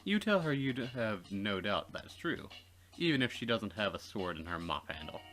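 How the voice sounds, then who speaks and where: Low-voiced man narrating a game. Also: sing-song, moderate pace, fairly bright tone. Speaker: narrator of a game let's play. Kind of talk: reading visual novel narration aloud with casual asides.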